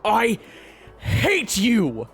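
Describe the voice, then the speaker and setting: Man acting gruff, hot-headed monster fighter, wildly swinging pitch. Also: huge pitch swings, drawn-out words, fairly bright tone, faint music behind, full character voice. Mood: flustered, angry. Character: Raven, hot-headed, easily flustered male monster fighter, taunting. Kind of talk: audio roleplay acting.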